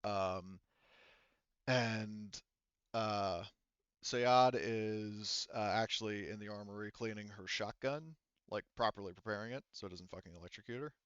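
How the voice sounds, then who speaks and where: Male game master, lowish clean tone, hesitant improvised narration, slightly muffled recording. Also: a bit bright, smooth, no room sound. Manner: halting, hedging, thinking aloud.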